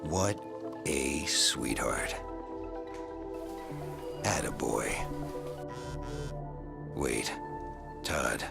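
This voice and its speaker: An actor playing a slow-talking, gravelly man in a scripted comedic commercial, mock-admiring and let down. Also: very deep voice, heavily acted. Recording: music behind the voice.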